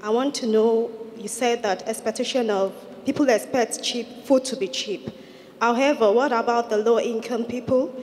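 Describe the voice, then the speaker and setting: A woman's voice: a little high, moderate pace, darkish tone. A woman asks a direct question from the audience at a conference, into a handheld mic. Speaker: audience member asking a question. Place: large conference hall. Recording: reverberant conference hall.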